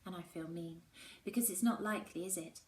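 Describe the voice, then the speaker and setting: A woman teacher reading a children's novel aloud in an expressive storytelling style. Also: on the low side, brisk. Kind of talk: expressive storybook read-aloud with acted dialogue. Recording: roomy echo with faint background noise.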